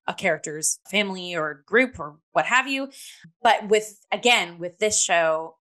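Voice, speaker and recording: A woman in casual review discussion, with a bright, crisp-edged voice set a little low. She speaks emphatically and reflectively, building her thoughts as a podcast co-host.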